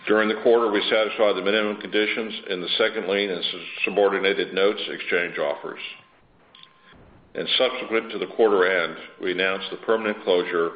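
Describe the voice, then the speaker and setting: Deep-voiced man on an echoey conference line. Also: fairly even intonation, dull audio. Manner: formal, measured. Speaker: chief executive presenting results. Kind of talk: scripted earnings call presentation. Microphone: conference call phone line.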